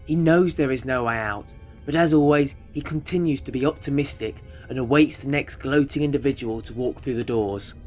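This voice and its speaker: A man's voice: mid-range voice, normal speed, darkish tone, faint music behind. A man reading scripted narration for an audio drama.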